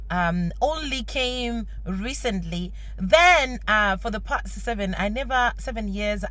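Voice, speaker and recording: A woman, a mother, talking thoughtfully to the camera, pausing and restarting as she goes. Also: medium pitch, fairly bright tone. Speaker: parent interviewee. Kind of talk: casual talk to camera.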